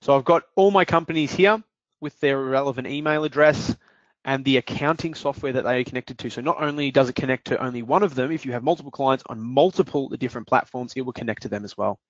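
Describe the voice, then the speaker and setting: A man presenting and walking through a software demonstration in a steady explanatory way, with occasional fillers. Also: mid-range voice, quick pace, dry recording. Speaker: product presenter. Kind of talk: software demo walkthrough. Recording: dull dry lo-fi audio.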